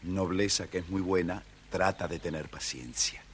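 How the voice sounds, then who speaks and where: A man's voice: low-pitched, moderate pace, slight background noise. A man with an Argentine accent narrating a scripted children's story as the storyteller.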